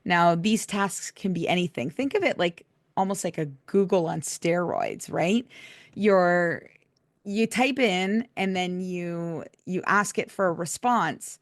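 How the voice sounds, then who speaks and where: A woman hosting a podcast in casual chat, her voice raspy and hoarse from laryngitis, chatty and laughing often. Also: lowish voice, very animated, slow, dry recording, clean studio sound. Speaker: podcast host.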